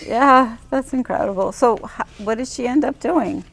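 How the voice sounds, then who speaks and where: A woman's voice: a little high, normal speed, vocal fry, background noise. An older woman sharing poetry and conversation as a show's co-host. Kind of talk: poetry reading and casual chat.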